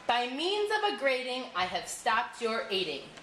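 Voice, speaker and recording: A woman's voice: a little high, big pitch swings, measured pace, a bit bright. A woman voicing a resourceful, defiant shipwrecked male sailor, declaiming his lines for a puppet show story. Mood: triumphant. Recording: roomy, noisy school room recording.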